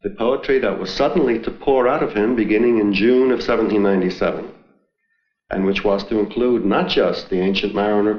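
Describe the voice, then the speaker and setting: A man giving considered expert commentary in an interview, deliberate and emphatic. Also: on the low side. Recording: roomy, dull old film sound.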